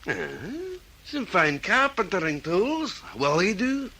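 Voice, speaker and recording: High-pitched, evasive shopkeeper. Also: male voice, sing-song, slow pace, bright, forceful tone, slightly rough, exaggerated voice. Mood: feigned innocence. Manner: drawling, hesitant. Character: shifty male secondhand tool dealer, slow and evasive. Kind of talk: old radio drama character acting.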